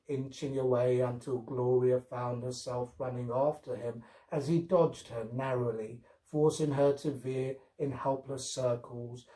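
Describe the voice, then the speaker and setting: A man narrating a story from a script, expressively, with deliberate, dramatic phrasing. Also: medium pitch, a little mellow. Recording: roomy, echoing sound.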